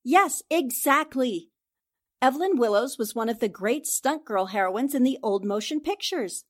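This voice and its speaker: Woman playing a high-voiced, assertive lady reporter sleuth. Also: animated, moderate pace. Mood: dramatic, insistent. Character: sharp, confident young female newspaper reporter sleuth. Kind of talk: radio drama acting in 1940s style.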